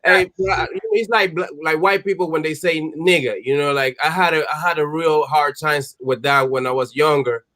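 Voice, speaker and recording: Easygoing man guest, slangy, slightly raised pitch. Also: moderate pace, hardly any pauses, pushed and bright. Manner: laid-back slang, "you know what I mean". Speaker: guest, musician. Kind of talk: casual roundtable chat.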